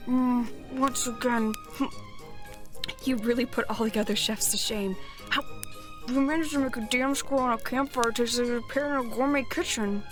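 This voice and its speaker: A woman's voice: a little high, steady tone, moderate pace, soft background music, lightly acted. A woman playing a practical, self-assured party leader in a fantasy audio drama, matter-of-fact and lightly teasing. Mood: teasing praise. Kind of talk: audio roleplay drama acting.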